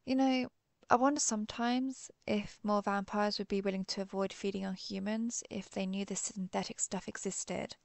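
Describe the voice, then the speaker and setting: Casting: actress for protective female vampire hunter, herself a vampire; intimate roleplay audio, confiding and measured, mid-pitched with creaky edges. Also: fairly even intonation, dry recording, lightly acted. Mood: relieved, reflective. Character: protective, guilt-ridden female vampire who hunts vampires. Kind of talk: intimate scripted audio roleplay addressed to the listener.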